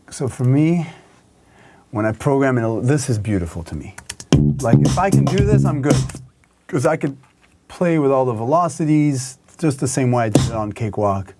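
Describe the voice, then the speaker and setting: A man in his thirties casually touring his wood-panelled music studio, rambling and gesturing as he talks. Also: mid-range voice. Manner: relaxed, rambling, gestures with hands while talking. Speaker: musician giving a studio tour. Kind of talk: casual chat.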